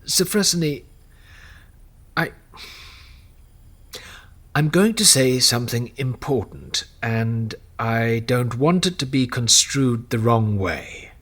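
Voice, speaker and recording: Man playing a husky, scheming political advisor. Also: medium pitch, lively intonation, takes it slowly, long pauses, fairly bright tone. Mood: grave, confiding. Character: shrewd, measured male political advisor, quietly scheming. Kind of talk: audio drama acting.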